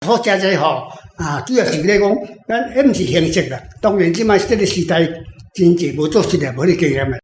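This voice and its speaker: High-pitched Taiwanese man, roomy echo. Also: expressive, a little fast, a little mellow. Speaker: author and guest answering questions. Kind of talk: casual explanatory talk.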